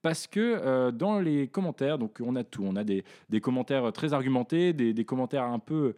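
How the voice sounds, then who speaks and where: A young man from northern France hosting a casual podcast discussion in his living room, talking haltingly with many hesitations and restarts. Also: mid-range voice, animated, warm tone. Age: young adult. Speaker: podcast host.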